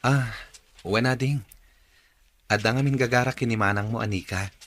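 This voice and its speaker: An actor plays a casual, helpful brother-in-law in a radio drama. His bright, dry-sounding voice has a northern Philippine accent. He talks in a persuasive, conversational way. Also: medium pitch, normal speed, no echo, lightly acted. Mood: casual, friendly.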